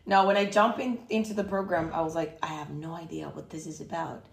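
A woman from Costa Rica, a podcast guest, chatting casually and pausing often to find her words. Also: low-pitched, fairly even intonation, gravelly. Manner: hesitant, many fillers and restarts, searching for words. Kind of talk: casual chat. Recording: roomy echo.

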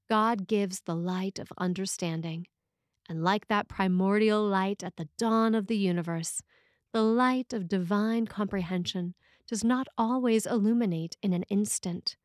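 A woman's voice: mid-range voice, no room sound. Woman reading a sermon, dry close sound. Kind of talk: scripted sermon, read aloud.

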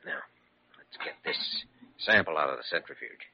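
Deep-voiced, confident young doctor. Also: male voice, animated, gravelly, slightly rough, exaggerated voice. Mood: calm, focused. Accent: American. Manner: dry, brisk, reassuring. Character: calm, confident, wry young male doctor. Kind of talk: vintage radio drama acting. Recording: muffled old radio broadcast.

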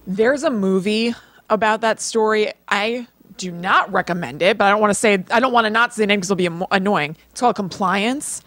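Woman, casual podcast chat, medium pitch, informal, short interjections, questioning and reacting. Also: brisk, fairly bright tone. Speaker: podcast co-host.

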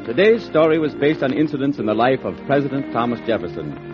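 A man's voice: mid-range voice, big pitch swings, fast. A man reading credits as an announcer in a formal 1940s radio style. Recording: muffled old radio, loud music and applause. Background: loud music and crowd.

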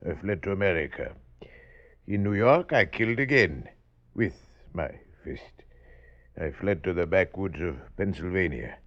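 Very deep, menacing brute. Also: male voice, animated, a little slow. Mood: cold, matter-of-fact. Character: big brutish Irish killer hiding in backwoods, menacing. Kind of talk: old-time radio drama acting. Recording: muffled old radio recording, faint noise.

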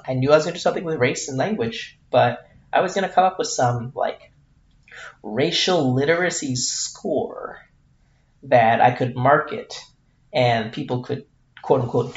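Candid man with a gravelly voice. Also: mid-range voice. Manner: candid, self-deprecating, many fillers and restarts. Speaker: podcast host. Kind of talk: reflective solo podcast monologue. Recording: roomy, dull lo-fi sound.

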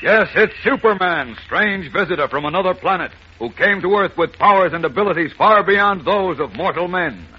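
A man's voice: high-pitched, animated, moderate pace, few pauses, slightly rough. An American man announcing in 1940s radio style, grand, dramatic and proclaiming. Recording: muffled old radio broadcast.

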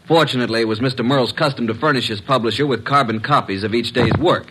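A man's voice: mid-range voice, fast talker, noisy background, natural voice. An actor playing a brash, loyal detective's legman who talks in plain slang, in classic radio mystery style. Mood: indignant. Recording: old radio drama recording.

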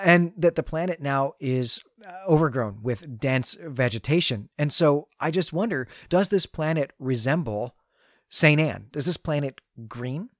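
A man's voice: medium pitch, no echo, clean studio sound. A man co-hosting a podcast, recapping and discussing a story in a thoughtful, analytical, conversational way. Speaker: podcast co-host.

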